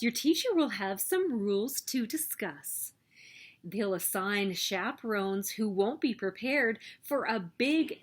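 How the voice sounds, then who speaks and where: A woman reading a children's picture book aloud as story host, with expressive, emphatic delivery. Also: fairly high pitch, slightly rough. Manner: expressive, emphatic storytelling with sing-song refrains.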